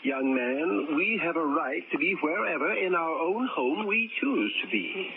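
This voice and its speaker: A man's voice: on the high side, animated, measured pace, creaky, very noisy. An actor playing a stern, pompous elderly man in a 1940s radio drama, talking in a formal, scolding way. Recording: tinny lo-fi old radio broadcast.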